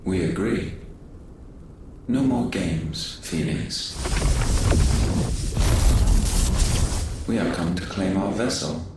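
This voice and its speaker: An actor playing a cold, imperious godlike being, aloof and stately with quiet menace, in a fantasy video game, his voice treated with an echoing effect. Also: low voice, expressive, slow pace, background noise. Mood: menacing. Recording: echoing, distant, under loud music.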